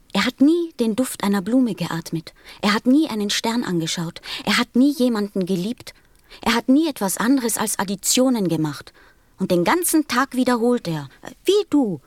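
A woman's voice: medium pitch, darkish tone, no room sound, natural voice. A woman playing a sensitive, earnest little boy prince in a radio play, speaking with insistence. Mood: angry. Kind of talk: German radio play acting.

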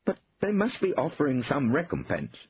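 A man's voice: medium pitch, big pitch swings, quick pace, lo-fi sound, slight background noise, voice pushed up. A man voicing an anxious, tight-fisted shopkeeper in an audiobook, flustered, incredulous and evasive.

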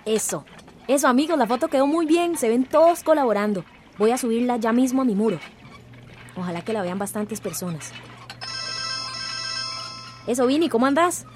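An actress playing an upbeat, energetic community organizer with a Central American accent and an eager, chatty manner in a radio drama. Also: female voice, a little high, normal speed, long pauses, faint music behind, natural voice. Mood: excited.

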